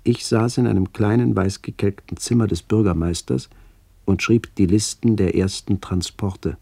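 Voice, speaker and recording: An older narrator looking back on his village childhood in a radio play. His voice is deep, dark and husky, with a gravelly edge. He speaks in a measured, reflective way, recorded close and dry. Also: steady tone, no room sound. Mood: somber, remembering. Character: older man recalling his village childhood during the expulsion. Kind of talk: literary first-person radio play narration.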